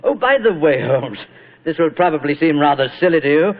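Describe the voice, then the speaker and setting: An actor as genial, theatrical old British thespian. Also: male voice, on the high side, animated, brisk, close to an everyday voice. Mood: ingratiating. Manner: affable, theatrical, polite. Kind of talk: 1940s radio acting. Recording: muffled, narrow-band old radio recording.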